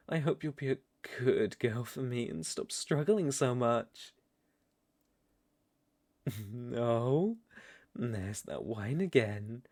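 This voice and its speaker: A man's voice: mid-range voice, darkish tone, dry recording, studio quality. A British man playing a seductive, domineering vampire lord in an intimate ASMR roleplay, with teasing, ornate, condescending speech.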